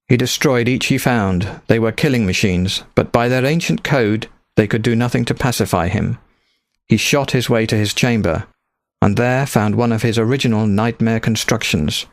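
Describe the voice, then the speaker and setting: A man narrates fiction in a medium-pitched voice. The recording is dry and close, in the manner of an audiobook. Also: no room sound. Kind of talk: scripted story narration.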